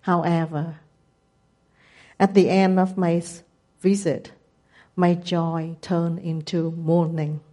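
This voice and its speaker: Older woman, Vietnamese accent, sixties, lowish voice, warm mellow tone, measured missionary testimony. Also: level tone. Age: 60s. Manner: measured, careful non-native phrasing.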